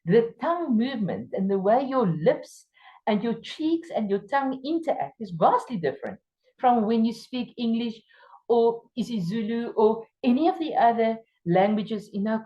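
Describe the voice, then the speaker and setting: A woman from South Africa with an Afrikaans accent, speaking English as her second language while training teachers. She lectures emphatically, stressing key words with sudden jumps in pitch. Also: very animated, normal speed, dark tone, highs cut off. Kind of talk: workshop lecture.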